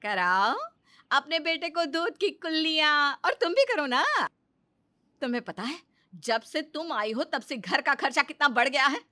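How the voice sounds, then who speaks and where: A woman's voice: high voice, very animated, unhurried, pushed and bright, exaggerated voice. A woman playing a bitter, suspicious sister-in-law in a story, scolding and sarcastic. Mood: sarcastic, bossy.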